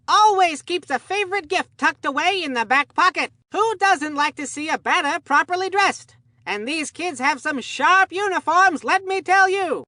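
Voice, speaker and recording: An actor voicing an excitable, absent-minded kids' baseball game commentator who rambles in an earnest, folksy way. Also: high voice, no room sound, studio quality, heavily acted. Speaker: sports commentator. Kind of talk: cartoon video game sports commentary.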